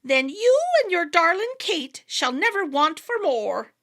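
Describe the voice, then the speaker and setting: Sly little fairy man as a woman puts him on, high sing-song voice, bright tone, storybook. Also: sing-song, a little slow, exaggerated voice. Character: leader of the wee folk, sly little man.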